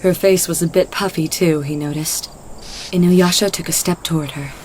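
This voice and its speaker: Lower-voiced woman narrating a story. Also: fairly even intonation, moderate pace, darkish tone, a little hiss or hum, poor audio.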